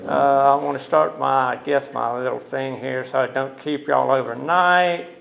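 A loud man from Norfolk, telling his personal story in a folksy way as guest speaker at a recovery meeting. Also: medium pitch, steady tone, moderate pace, creaky. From Norfolk, USA. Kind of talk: personal testimony to a group. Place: meeting room. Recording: echoey, dull meeting-room recording.

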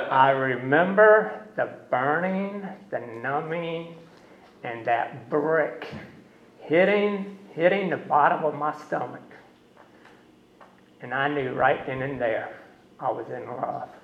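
A loud man from Norfolk, telling his personal story in a folksy way as guest speaker at a recovery meeting. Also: mid-range voice, takes it slowly, pauses often. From Norfolk, USA. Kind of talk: personal testimony to a group. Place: meeting room. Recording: echoey, dull meeting-room recording.

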